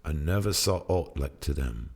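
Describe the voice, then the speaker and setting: A man giving a theatrical, solemn and deliberate dramatic recitation of a poem, with music. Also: rumbling low, animated, vocal fry, slightly rough. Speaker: poetry reader. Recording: music played behind the voice.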